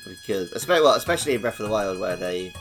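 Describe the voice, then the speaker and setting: Man, low voice, podcast host, casual gaming chat, enthusiastic, music behind. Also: lively intonation, fast, a bit bright. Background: background music.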